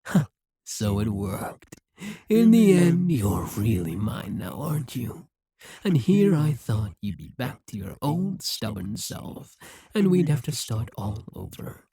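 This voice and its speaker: Breathy, husky demon ruler, acted out, musing slowly. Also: medium pitch, sing-song, dark tone, no echo, heavily acted. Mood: possessive, uneasy. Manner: slow, musing, condescending. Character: dominant demon ruler, haughty, wry, coldly possessive. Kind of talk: ASMR roleplay acting.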